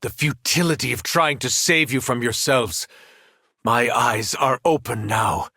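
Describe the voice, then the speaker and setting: A man voicing a powerful superhero in a video game, speaking in an earnest, deliberate manner. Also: medium pitch, pushed and bright, slightly rough, dry recording, clean studio sound, exaggerated voice. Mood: cold menace. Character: powerful male superhero, once kindly, now menacing. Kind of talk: acted video game voice lines.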